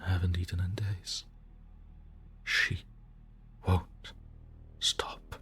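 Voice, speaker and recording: A man's voice: sits low, flat delivery, extremely slow, pushed and bright, slightly rough, exaggerated voice. A man voicing a tormented, terrified man who whispers, pleads and breaks into sobs. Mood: haunted whisper. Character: haunted, terrified man writing a journal.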